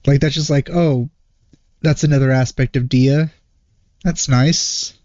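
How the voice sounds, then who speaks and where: A man giving casual reaction commentary as a video host, in a hesitant, low-key manner. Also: steady tone, unhurried, darkish tone, no room sound, lo-fi sound. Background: game trailer music.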